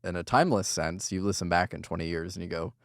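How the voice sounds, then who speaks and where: A man's voice: deep voice, fast, gravelly, dry recording, clean studio sound. A young man, a recording engineer, talking earnestly in long run-on thoughts in a casual podcast chat.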